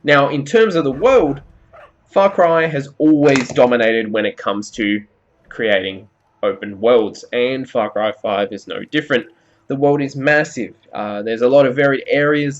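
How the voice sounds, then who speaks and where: A man's voice: mid-range voice, lo-fi sound. A man giving a conversational, explanatory video game review as commentary over gameplay.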